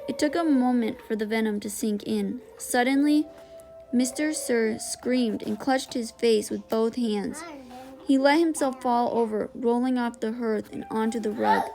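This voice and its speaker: Higher-pitched woman reading a storybook aloud. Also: a little slow, dark tone, faint music behind. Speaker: read-aloud narrator. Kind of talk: audiobook-style reading of a children's novel.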